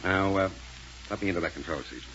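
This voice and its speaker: An actor playing a ruthless, impatient gang leader who snaps orders, in a 1930s radio serial style. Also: male voice, deep voice, fast talker, natural voice. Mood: brisk, commanding. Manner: curt, bossy, snapping orders. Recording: muffled, noisy 1930s radio recording.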